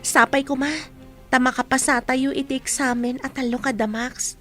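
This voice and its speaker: A woman's voice: sits high, little pitch movement, normal speed, fairly bright tone, soft background music. A woman acting an earnest, secretive young student in a radio drama. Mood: hopeful, secretive. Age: young adult.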